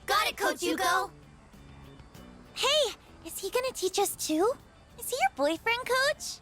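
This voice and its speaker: A woman's voice: very high voice, very animated, unhurried, over music, full character voice. A woman playing an eager, admiring little schoolchild in an anime game dub.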